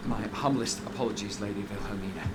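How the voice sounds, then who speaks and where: An actor playing a dutiful city guard in a game cutscene, formal and officious in manner. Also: male voice, fairly low pitch, fairly even intonation, quick pace, very noisy, natural voice. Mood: apologetic, insistent. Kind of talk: video game cutscene acting. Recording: clear music behind the voice.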